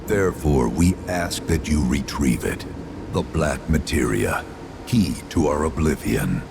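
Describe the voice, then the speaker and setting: An actor playing an ancient, sorrowful spirit in a video game, speaking in solemn, archaic, grandiose phrases. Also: male voice, very deep voice, expressive, unhurried, creaky, over music, exaggerated voice. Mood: aggrieved, pleading. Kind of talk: formal archaic dramatic game acting.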